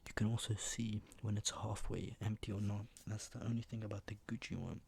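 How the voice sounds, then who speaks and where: A young man speaking in a soft ASMR half-whisper, unhurried and rambling, with a slightly sore, flu-worn voice. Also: on the low side, fairly even intonation, creaky, slightly rough, no echo. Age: young adult. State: mildly sick, recovering from flu, sore voice. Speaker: ASMR video host. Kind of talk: ASMR, soft-spoken product show-and-tell. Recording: noticeable background noise with clicks.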